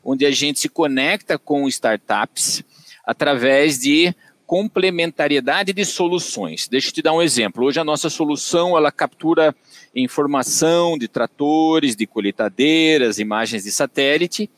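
A middle-aged Brazilian man with a caipira accent from inland São Paulo, speaking in a measured way with many hesitations as a guest in an interview. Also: on the high side, a bit bright, no echo. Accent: countryside São Paulo (caipira). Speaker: guest, company director. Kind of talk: conversational interview.

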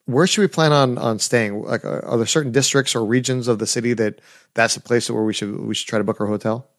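Man, host, middle pitch, conversational, sentence restarts, dry close sound. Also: steady tone, brisk, no echo. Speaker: podcast host. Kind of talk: casual interview questions.

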